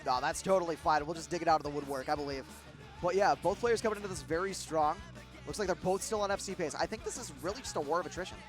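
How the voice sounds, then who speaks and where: A man's voice: on the high side, fast talker. Midwestern man calling a guitar tournament. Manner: rambling, with fillers and restarts. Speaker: tournament commentator. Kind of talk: live esports play-by-play commentary. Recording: guitar game music underneath.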